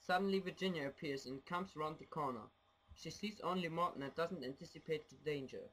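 A man's voice: a little high, a little fast, fairly bright tone, a little hiss or hum, low-quality recording. A young man from Germany giving scripted narration for an amateur radio play.

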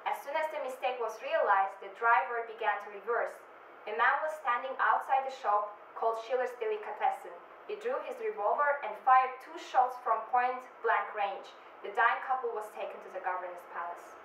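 A woman's voice: fairly high pitch, moderate pace, fairly bright tone. A young woman giving a scripted correspondent's news report, recorded in a room from some distance. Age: young adult. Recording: roomy, distant mic, faint noise.

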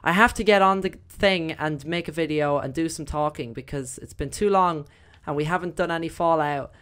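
A young woman with a slightly croaky voice, chatting casually through gameplay commentary with lots of fillers. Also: sits low, moderate pace, a bit bright. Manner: chatty, rambling, many fillers. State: croaky, voice recovering. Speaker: gaming video host.

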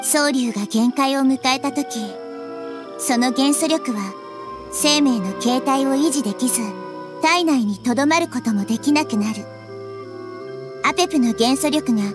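A woman voicing a small, childlike nature goddess in a game, wise, thoughtful and articulate. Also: sits high. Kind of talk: scripted game dialogue.

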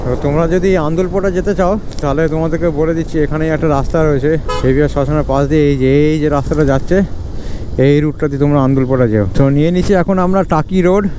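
A man talks on the move, his voice a touch high for a man. He keeps up a chatty running commentary and scolds careless drivers aloud. Wind and traffic roar in a helmet-mounted mic. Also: racing. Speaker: motovlogger. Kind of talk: casual ride commentary. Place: outdoors on a moving motorbike. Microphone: helmet-mounted camera mic.

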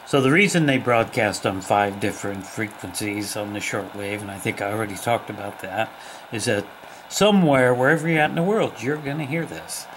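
A man giving a casual, unhurried, plain-spoken hands-on demonstration as a radio hobbyist. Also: lowish voice, poor audio. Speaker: shortwave radio hobbyist presenter. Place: indoors at a wooden table. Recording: loud radio static and hiss. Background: shortwave radio static, tones and ticking.

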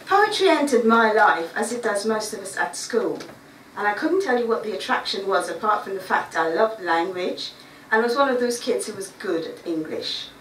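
A middle-aged Guyanese woman reads a prepared talk at a stand mic on a small stage, measured and reflective. She is heard from well back in a roomy space, with faint room hum behind her. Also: medium pitch, a bit bright. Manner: reflective, measured reading with asides. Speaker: writer giving a talk. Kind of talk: reading a prepared talk aloud. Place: small dark stage before a seated audience. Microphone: stand mic, filmed by a far camera. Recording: roomy, distant camera recording.

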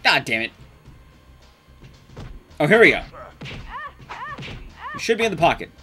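A man's voice: a little high, big pitch swings, measured pace, bright, forceful tone, soft background music. A young man giving casual, sweary, sarcastic live commentary as he speedruns a game. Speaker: speedrun streamer. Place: indoor room at home, streaming setup.

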